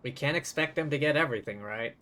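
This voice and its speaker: A man's voice: mid-range voice, fast talker, bright, forceful tone. A man streaming a game live, giving casual commentary. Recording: game music behind the voice.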